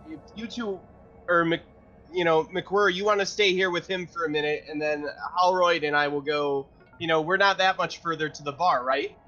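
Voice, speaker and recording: Lo-fi recording of a male gamer, casual and profane, a touch high, light music underneath. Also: steady tone, moderate pace, bright, forceful tone. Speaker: tabletop game player. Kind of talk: casual table chat.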